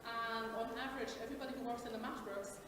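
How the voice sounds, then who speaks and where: A woman from Belgium gives an upbeat company presentation at a jobs fair, with a slightly higher voice and plenty of ums. The mic sits far from her, so her words ring out in a long, hollow hall reverb over a steady murmur of background noise. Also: quick pace. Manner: upbeat, chatty, with frequent ums. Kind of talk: informal recruiting presentation. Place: large expo hall.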